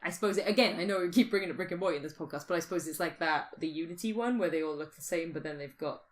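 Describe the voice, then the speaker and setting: A woman with a slightly low voice talks casually as a podcast co-host. She thinks out loud, posing questions and reasoning her way through speculative ideas. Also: racing.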